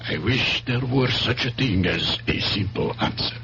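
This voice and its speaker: An actor playing a defensive, evasive professional man, measured and reluctant, in a 1970s radio drama. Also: lowish voice, animated, very noisy. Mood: regretful. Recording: muffled humming 1970s radio recording.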